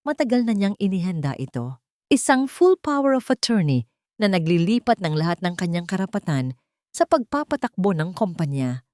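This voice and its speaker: A woman's voice: lowish voice, big pitch swings, moderate pace, a little mellow, no room sound, clean studio sound. A woman giving a scripted, dramatic narration of an audio love-and-betrayal story.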